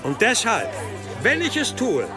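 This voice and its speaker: An actor playing a solemn abbot in an audio drama, formal and preacherly, pleading for trust. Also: male voice, extremely high pitch, wildly swooping pitch, a little slow, over music, exaggerated voice. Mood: pleading, earnest. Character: solemn monastery abbot preaching to hostile villagers.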